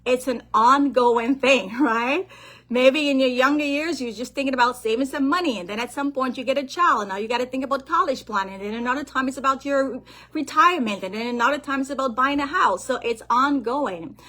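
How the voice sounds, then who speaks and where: A woman from Guyana, a financial planner and author giving a lively motivational talk on money over a call and often checking in with her listeners. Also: sits high, fairly quick.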